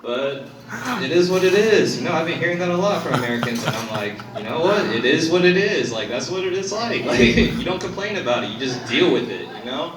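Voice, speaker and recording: A man's voice: a little high, expressive, a little fast. A young man from Korea performing a first-time stand-up set, talking loosely with lots of fillers and swearing. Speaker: stand-up comedian. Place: comedy club stage. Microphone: phone recording from the audience. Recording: roomy, distant phone recording with crowd. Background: audience laughter.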